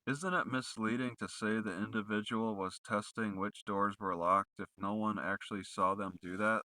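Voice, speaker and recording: Bright, forceful man cross-examining. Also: fairly low pitch, steady tone, moderate pace, dry recording. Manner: accusatory, leading questions. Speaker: questioner practicing cross-examination. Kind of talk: rehearsed cross-examination questioning.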